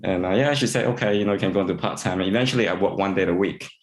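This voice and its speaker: A man from Singapore, an integrative veterinarian, answering interview questions in a halting way with many repeated words and fillers. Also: sits low, echoey room.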